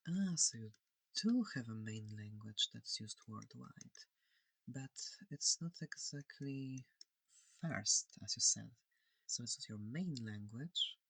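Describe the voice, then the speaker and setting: A performer voicing a friendly, curious alien examiner in a soft, hesitant, breathy ASMR roleplay. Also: mid-range voice, animated, slow, no room sound, heavily acted, low-quality recording. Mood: curious, thoughtful. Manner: soft-spoken, hesitant, gently inquisitive.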